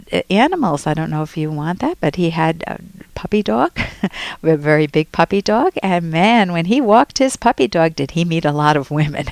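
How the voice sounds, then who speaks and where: A middle-aged woman hosting an advice show, talking listeners through personal problems in an unhurried, reassuring way. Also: mid-range voice, no echo. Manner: warm, unhurried, reassuring, with frequent restarts. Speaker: radio advice show host. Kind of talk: reading a listener's letter and giving advice.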